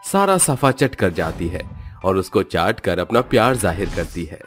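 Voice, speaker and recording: Lively-pitched man narrating a cartoon story. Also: moderate pace, faint music behind.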